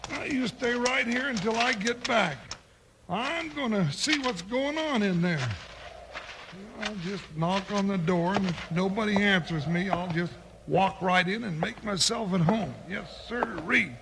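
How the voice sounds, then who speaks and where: An actor playing a big, excitable, superstitious deputy sidekick in a 1950s radio western, with a scratchy American cowboy drawl and folksy, blustering exclamations. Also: male voice, high-pitched, measured pace. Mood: uneasy bravado. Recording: noisy 1950s radio broadcast recording.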